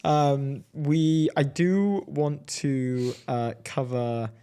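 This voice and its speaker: A man co-hosting a casual talk show, animated and laughing often, reading out quotes. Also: mid-range voice, drawn-out words, clean tone. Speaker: co-host. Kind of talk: casual chat.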